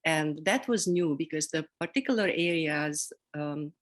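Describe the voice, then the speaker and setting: A middle-aged woman with a Hungarian accent, speaking reflectively as an interview guest, pausing between short phrases. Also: low-pitched, animated. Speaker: interview guest, seminary program director.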